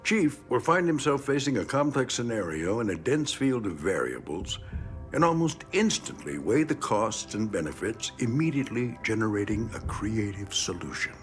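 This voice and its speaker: An actor playing a gritty, middle-aged decorated military trainer in an audio drama, gruff and plain-spoken with emphatic, measured praise. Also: male voice, on the low side, sing-song, soft background music. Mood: earnest, admiring. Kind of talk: audio drama interview acting.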